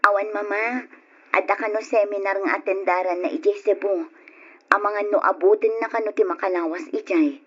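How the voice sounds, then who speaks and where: Young expectant wife for radio play woman performs: patient, dutiful, slightly husky, on dull lo-fi recording. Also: medium pitch, steady tone, a little fast, natural voice. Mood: patient, explaining. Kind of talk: old radio drama acting in Ilocano.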